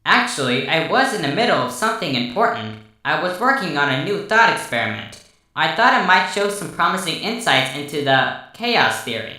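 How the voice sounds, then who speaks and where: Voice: medium pitch, big pitch swings, normal speed, bright, forceful tone, distant mic. A performer voicing a playful young female robot pony assistant with a synthetic, echoing voice, in an audio story. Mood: teasing. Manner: clipped machine-like status reports mixed with teasing. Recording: echoing processed sound.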